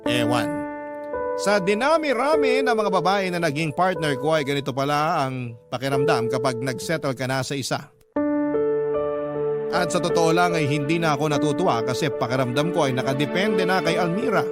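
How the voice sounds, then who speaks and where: A lovelorn boyfriend acted by a man tells his story in an earnest, reflective way. His voice sits a little high for a man, over very prominent music, as in radio drama narration. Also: close to an everyday voice. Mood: wistful. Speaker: letter-sender narrating his love story. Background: loud music.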